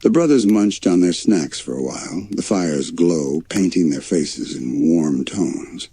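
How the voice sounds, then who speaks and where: A man reading a bedtime story in a gentle, measured, soothing way. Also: sits low, lively intonation, husky, no room sound.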